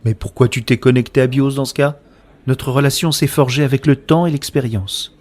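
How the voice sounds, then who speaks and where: A man playing a passionate sceptic in a scripted science-fiction audio drama, speaking in a serious, deliberate, reproachful way. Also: medium pitch, steady tone, a little mellow, close to an everyday voice. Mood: wounded, passionate. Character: Abel, sceptical passionate man guarding privacy and real feeling. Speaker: voice actor.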